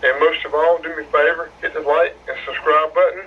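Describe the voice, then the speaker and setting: A man's voice: a little high, flat delivery, a little fast, husky, lo-fi sound. A man hosting a hobby channel, giving a casual, plain-spoken review in informal language. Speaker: host of a radio hobby channel.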